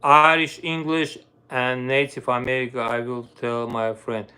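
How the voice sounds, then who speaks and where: Slow-talking Armenian-accented man. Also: medium pitch, flat delivery, bright, forceful tone, smooth. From Armenia, grew up in the Soviet Union. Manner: searches for words, fillers, broken grammar. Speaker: livestream host, violinist. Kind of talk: casual live-stream chat with viewers.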